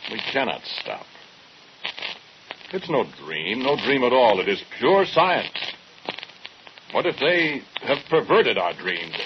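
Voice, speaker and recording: An actor playing an obsessed, defensive atomic scientist in an old radio horror drama, speaking with agitated insistence. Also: male voice, medium pitch. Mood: grim, defensive, then anguished protest. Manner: insistent, agitated, clipped repetitions. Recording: muffled, very noisy old radio recording.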